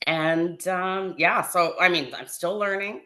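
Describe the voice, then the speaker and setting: A woman from Canada chats in an interview over a video call. Her voice sits a little low but sounds bright and forward, and she fills her pauses with hesitant ums. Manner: chatty, hesitant fillers. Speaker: brand ambassador. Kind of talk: casual interview.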